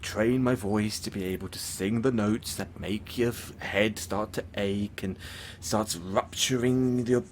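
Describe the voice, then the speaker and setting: A man playing a lonely, lovesick supervillain in an audio roleplay, theatrical and halting, often dropping into breathy near-whispers. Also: fairly low pitch, steady tone, slight background noise, natural voice. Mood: sheepish, rambling. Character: lovesick, lonely supervillain, suave musical phantom, obsessive and theatrical. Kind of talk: intimate audio roleplay acting.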